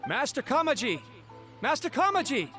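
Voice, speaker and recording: A man's voice: very high-pitched, big pitch swings, moderate pace. A man voicing an anxious, deferential young clan retainer in a video game, stammering and apologetic, with music behind him. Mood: alarmed. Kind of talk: English video game voice acting.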